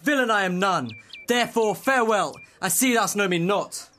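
An earnest young gentleman acted by a young man with a very high-pitched, forceful bright voice. He placates his rival in Shakespearean audio-play style. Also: moderate pace. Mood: earnest, placating. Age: young adult.

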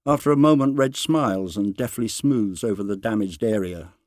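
A man giving a dramatised, deliberate and expressive reading of a literary short story. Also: lowish voice, no room sound, studio quality. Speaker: story reader.

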